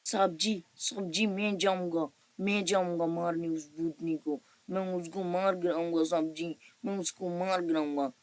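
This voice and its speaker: Woman doing a cocky cartoon villager guy, a bit low, eager to fight and very deferential. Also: fairly even intonation, measured pace, hardly any pauses, dry recording, close to an everyday voice. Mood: eager bravado. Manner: deferential, boastful, repetitive. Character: boastful eager village man volunteering as ghost-slayer.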